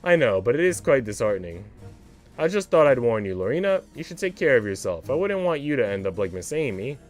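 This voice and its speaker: A man's voice: medium pitch, big pitch swings, a little fast, faint music behind, close to an everyday voice. A man voicing a haughty, condescending English maid, a prim woman with a cruel streak, in a visual novel. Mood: smugly disdainful.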